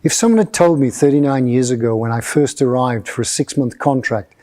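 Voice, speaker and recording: Man in his sixties, mellow dark voice, measured acceptance speech. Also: mid-range voice. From Yorkshire, England. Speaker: whisky distiller.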